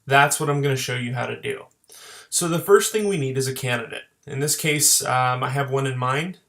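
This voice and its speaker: A man narrating an instructional screen tutorial as a software trainer, explaining plainly step by step. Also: mid-range voice, normal speed, vocal fry. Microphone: voice-over for screen recording.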